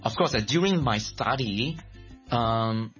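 A man from Malaysia, a guest speaking in a casual interview, animated and quick to laugh, often restarting his words. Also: mid-range voice, highs cut off, faint music behind. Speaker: guest, creative director and songwriter.

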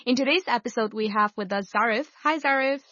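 A woman's voice: fairly high pitch, a little fast, a bit bright, dry recording. Upbeat female radio host. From Pakistan, raised in Hong Kong. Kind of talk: scripted radio introduction. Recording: dry, slightly dull studio sound.

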